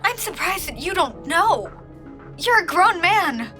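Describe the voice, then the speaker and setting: A woman's voice: extremely high pitch, sing-song, a little slow, pushed and bright, faint music behind, heavily acted. An actress playing a defiant, emotional young woman who pleads and stands up to authority in an audio drama. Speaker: voice actor in audio fiction.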